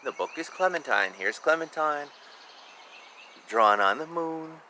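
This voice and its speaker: Bright-voiced man reading a children's storybook. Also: a little high, a little slow, a little hiss or hum. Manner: expressive, informal, drawing out words, commenting on the pictures. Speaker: children's book reader. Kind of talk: animated storybook read-aloud with casual asides.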